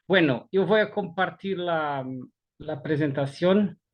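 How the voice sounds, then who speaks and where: A Portuguese man presents over a video call, his voice sitting somewhat high. He speaks in a halting way, often repeating a word as he reaches for the next. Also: muffled recording. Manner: halting, repeats words while searching for Spanish. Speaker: guest speaker. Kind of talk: online presentation.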